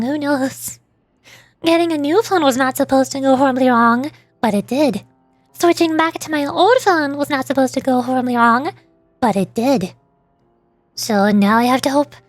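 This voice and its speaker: A woman's voice: sits high, no room sound. A woman livestreamer chatting casually, rambling and often interrupting herself, her voice starting to tire. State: voice starting to get worn out. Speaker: VTuber livestreamer.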